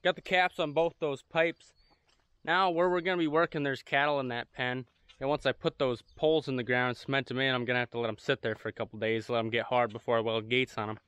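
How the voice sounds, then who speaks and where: Young farm guy vlogging outside, smooth clear voice, laid back, just chatting to the camera. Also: medium pitch, flat delivery, fairly quick, fairly bright tone, no room sound. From United States. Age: young adult. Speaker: farmer and vlog host. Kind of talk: casual vlog talk to camera. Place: outdoors on a farmyard and cattle pens.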